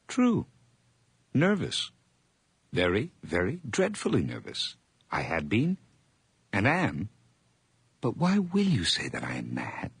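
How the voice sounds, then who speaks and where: A man playing a nervous, haunted madman drifter in a 1980s radio horror drama, halting and theatrical, dropping into whispers. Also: fairly low pitch, wildly swooping pitch, vocal fry, slightly rough, no echo, exaggerated voice. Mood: agitated, defensive. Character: nervous, haunted madman drifter and farmhand telling his tale.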